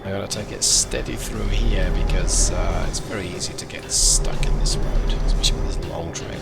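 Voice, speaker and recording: A man giving casual gameplay commentary, thinking aloud with restarts, his voice slightly croaky. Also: sits low, a little fast. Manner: relaxed, thinking aloud, frequent ums and restarts. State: slightly croaky from lack of fluids. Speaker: gaming video host. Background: loud music and truck game sounds.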